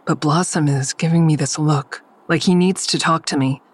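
Weary first-person drama narrator. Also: female voice, sits low, fairly even intonation, normal speed, husky, no room sound. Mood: weary, watchful.

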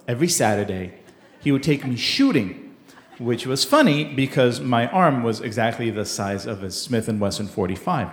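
A man from Israel telling a personal story live on a theater stage in a wry, deliberate way with pauses. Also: medium pitch.

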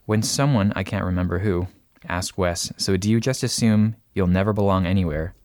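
Deep-voiced man hosting a podcast. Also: a little fast, a little mellow, dry recording, clean studio sound. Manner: thoughtful, hesitant with fillers. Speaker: podcast host. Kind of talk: casual podcast conversation, reading a listener letter.

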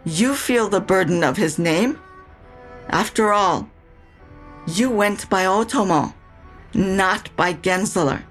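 An actress playing a proud, bitter older widowed mother in an audio drama, speaking in a deliberate, reproachful manner. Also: medium pitch, lightly acted. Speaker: actor in a fiction podcast. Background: music.

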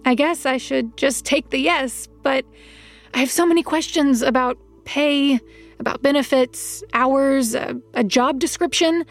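An actress playing an uncertain young woman, narrating in a hesitant, measured way in an audio drama. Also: sits high, faint music behind.

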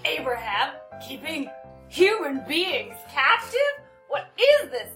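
A woman playing in a tabletop game, talking in an animated, dramatic way. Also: high voice, takes it slowly, roomy sound, faint music behind. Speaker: player. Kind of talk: playful in-character chat.

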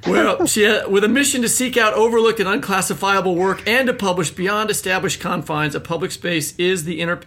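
Bright, gravelly man co-hosting a podcast. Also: high voice. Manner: upbeat, joking. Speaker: podcast co-host. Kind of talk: podcast interview.

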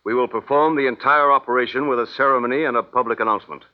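A man's voice: medium pitch, fairly even intonation, fast, lightly acted. A man playing a stern, coldly formal military colonel in a 1950s radio drama, speaking in commanding, clipped phrases. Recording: muffled vintage radio recording.

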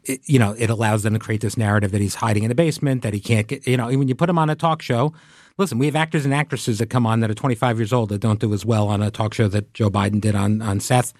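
A man's voice: lowish voice, steady tone, darkish tone, dry recording, studio quality. Agreeable man hosting a chat. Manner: agreeable, affirming, halting restarts. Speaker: podcast host and executive producer. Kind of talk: casual interview chat.

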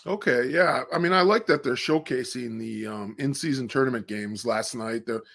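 A man with a deep voice talks sports at an easy, unhurried pace. He comes across as laid-back, dropping "man" and "dude" as he chats over a video call. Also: gravelly, fairly bright tone. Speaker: guest co-host. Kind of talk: casual sports chat.

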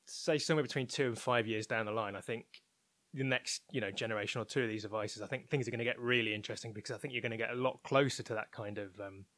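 Male interview guest, medium pitch, informal, candid explainer, very dry sound. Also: fast, fairly bright tone, no room sound. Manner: informal, candid, thinking aloud. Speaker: interview guest, technology researcher at a broadcaster. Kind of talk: conversational interview, explaining opinions.

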